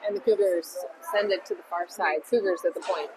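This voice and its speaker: A woman's voice: fairly low pitch, fairly even intonation, fairly quick. A woman doing casual play-by-play at a hockey game, cheering on her own team. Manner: chatty, rooting for the home team. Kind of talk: amateur play-by-play commentary. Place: ice rink. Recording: noisy rink ambience.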